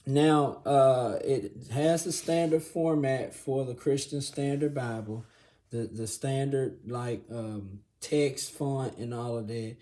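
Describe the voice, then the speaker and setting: A man hosting a casual Bible review video, his voice raspy and hoarse, often clearing his throat, and checking in with the viewer again and again. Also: mid-range voice, slow pace. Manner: relaxed, filler-heavy, says "okay?" often. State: hoarse from congestion. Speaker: channel host reviewing a Bible.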